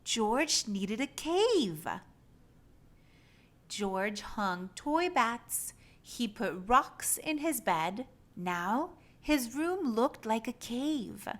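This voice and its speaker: A woman reading a children's storybook aloud in an expressive, animated storytelling style. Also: on the high side, very slow. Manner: animated, acts out animal sounds and exclamations.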